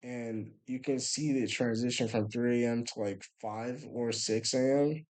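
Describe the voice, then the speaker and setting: A man's voice: mid-range voice, steady tone, unhurried, a little mellow. A young man telling a rambling story in a laid-back, casual way, filling it with "bro" and "you know" and often trailing off into a mumble. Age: young adult. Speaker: vlogger.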